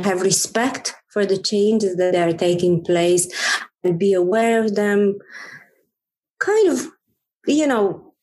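A woman's voice: medium pitch, a little mellow, rough recording. A middle-aged woman from Romania with a Romanian accent, talking as a podcast guest in an earnest, thoughtful way with frequent restarts. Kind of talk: reflective conversation.

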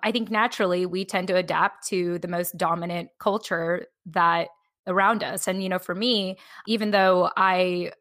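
A woman hosting a podcast, talking in a relaxed, rambling way with many "like" fillers. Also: fairly low pitch, normal speed, dry recording, studio quality. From born on a US military base. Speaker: podcast host. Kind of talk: casual conversational podcast.

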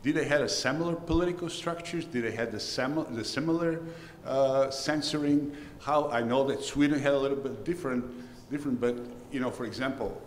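A man's voice: medium pitch, fairly quick. A man from Bulgaria asks a rambling question from the audience and gropes for words along the way. His voice sounds roomy, with a long hall reverb and faint ambient noise around it. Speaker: audience member asking a question. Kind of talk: question from the floor.

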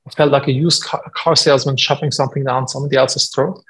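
A man's voice: medium pitch, fairly even intonation, fast, a bit bright. A man in his forties with a German accent, a guest entrepreneur talking casually on a podcast, full of fillers. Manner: conversational, frequent fillers, self-deprecating.

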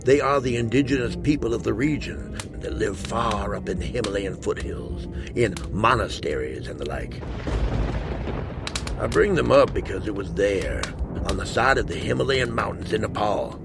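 An actor playing a worldly, obsessive big-game hunter in a western audio drama, telling his tale in a slow, brooding, hushed manner with a gravelly voice. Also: on the low side, animated, over music. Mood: hushed, haunted. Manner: slow, brooding storyteller with hushed, breathy emphasis.

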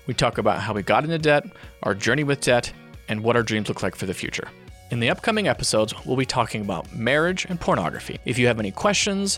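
Playful man with a lively voice. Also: mid-range voice. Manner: joking, laughs often, playful. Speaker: podcast co-host. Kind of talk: casual couple chat.

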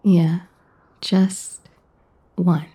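An actress playing a coy, flirtatious, smitten woman in an intimate romance audio drama, teasing in hesitant, breathy, husky half-whispers. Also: lowish voice, little pitch movement, drawn-out words, mellow, full character voice. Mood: sultry, teasing.

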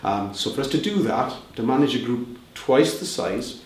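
A man's voice: medium pitch, expressive, normal speed, a little hiss or hum. A Welsh man heading a reseller group, answering interview questions in a conversational way with fillers and restarts. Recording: roomy, distant mic with faint ambience.

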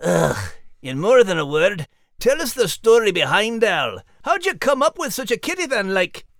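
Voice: medium pitch, very animated, normal speed, fairly bright tone, dry recording, studio quality. An actor playing a plucky, cheeky little male terrier with a Scottish accent, co-hosting a children's story podcast. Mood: chatty, cheeky. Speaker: co-host.

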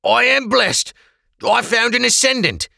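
A man voicing a frightened, pleading merchant locked in a cell, pushing his voice high and strained. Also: animated, a little slow, gravelly, pushed and bright, dry recording, studio quality, heavily acted. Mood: insistent.

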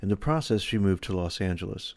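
A man hosting an interview podcast, reading sponsor messages and then chatting in a measured way with some hesitant restarts. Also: deep voice, animated, a little mellow, no echo. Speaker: podcast host.

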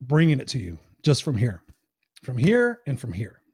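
A man with a hoarse voice, hosting a live-stream show as a life coach, talking in an energetic, profane, rambling way. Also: mid-range voice, normal speed, no echo, clean studio sound. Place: home.

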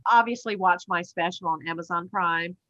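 A woman's voice: on the low side, moderate pace, lo-fi sound, a crowd in the background. A woman with a Southern American accent, a stand-up comedian talking bluntly and profanely in a casual interview, often laughing at herself.

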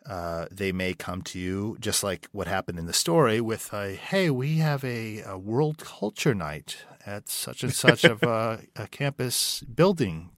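A man with a very low voice talks through his answers in an interview, thinking aloud with fillers and restarts. The sound is close and dry. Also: expressive, a little slow, few pauses, a bit bright, dry recording. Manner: thoughtful, searching for words with many restarts and fillers. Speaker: writer and director, interview guest.

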